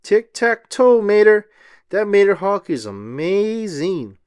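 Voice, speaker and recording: A man voicing an excitable air show announcer in a storybook, thrilled and proclaiming. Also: high-pitched, slow pace, clear, resonant tone, no room sound, studio quality, voice pushed up, exaggerated voice. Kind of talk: storybook character voice.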